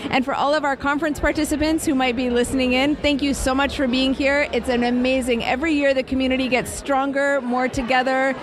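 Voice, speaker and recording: A woman's voice: sits high, little pitch movement, fairly quick, hardly any pauses, crowd noise. A woman hosting conference radio, giving enthusiastic, thankful closing remarks. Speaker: radio host. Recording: conference radio with music behind. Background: music.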